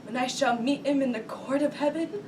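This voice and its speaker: A woman performing a grief-stricken noblewoman mother in a Shakespearean stage monologue, with theatrical, drawn-out lamenting. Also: close to an everyday voice. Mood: despairing. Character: grief-stricken noblewoman mother mourning her captive young son. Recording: distant mic, rumbling background noise.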